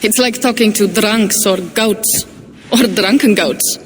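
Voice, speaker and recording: A woman's voice: medium pitch, slow, a little hiss or hum, close to an everyday voice. A woman playing an earnest young shield warrior. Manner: eager, earnest, a little unsure. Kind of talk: video game cutscene.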